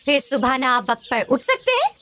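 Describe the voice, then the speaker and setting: A woman playing a caring, gently scolding mother of young children in a cartoon. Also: sits high, sing-song, moderate pace. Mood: gently lecturing.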